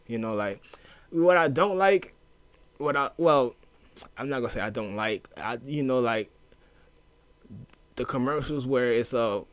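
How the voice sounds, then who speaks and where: A man talks loosely on a podcast, full of fillers and swearing, getting animated as he retells his past. His voice sits at a middle pitch. It is recorded dry and close, with no room echo. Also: unhurried, long pauses. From US inner city, moved to Louisiana. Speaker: podcast guest. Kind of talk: casual chat.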